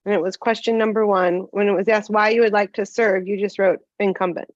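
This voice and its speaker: A woman's voice: medium pitch, fairly even intonation, a little fast, no room sound. Woman asking pointed questions, dry muffled sound. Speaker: board member asking questions. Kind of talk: questioning a candidate at a public meeting.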